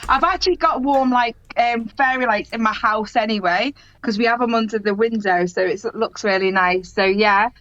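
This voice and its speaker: A middle-aged woman talks in a rambling, laughing way as a guest on a chat show. Her voice sits fairly high. The sound is dry and a little dull. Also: moderate pace, hardly any pauses, a bit bright, no room sound. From English Midlands. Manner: chatty, rambling, laughs often. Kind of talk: casual conversation.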